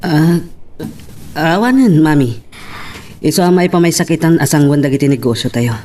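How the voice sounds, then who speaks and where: A man's voice: on the high side, normal speed, a little mellow, lightly acted. A man playing a reassuring, earnest grown son in a radio drama, with an Ilocano Filipino accent. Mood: reassuring, resigned. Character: reassuring, earnest adult son running family business.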